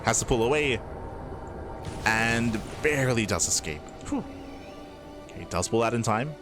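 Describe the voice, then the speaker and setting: A man from Australia with a mild Australian accent giving fast, chatty live commentary on a strategy game, with lots of fillers. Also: medium pitch, animated. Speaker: esports caster. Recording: music playing behind.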